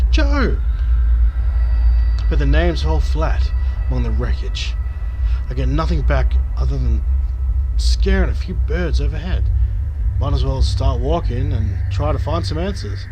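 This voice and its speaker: Male gaming host, fairly high voice, informal narrative playthrough delivery, clear outdoor noise behind. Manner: informal, swearing, thinking out loud. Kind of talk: game commentary mixed with dramatic read-aloud of narrative text.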